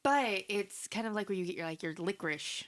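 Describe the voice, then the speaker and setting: A woman's voice: medium pitch, expressive, fairly quick. A woman co-hosting a casual podcast chat, teasing and matter-of-fact. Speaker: podcast co-host.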